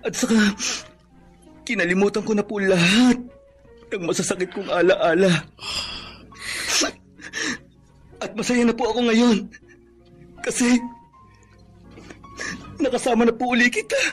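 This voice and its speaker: A man's voice: high voice, steady tone, normal speed, pauses often, slightly rough, soft background music. A man acting a humble, earnest recovering son in a Filipino radio drama, speaking politely and tenderly with a Filipino accent. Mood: tender, forgiving.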